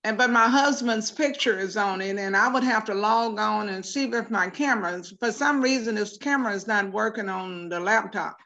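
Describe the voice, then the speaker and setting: A woman attending an online workshop from her laptop, asking questions haltingly. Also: medium pitch, bright, forceful tone, muffled recording. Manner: halting, searches for words. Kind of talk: casual questions on a call. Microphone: laptop on video call.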